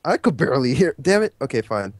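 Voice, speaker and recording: A man's voice: medium pitch, sing-song, fast talker, dry recording. A young man as a podcast guest in casual chat, laid-back and grumbling mildly.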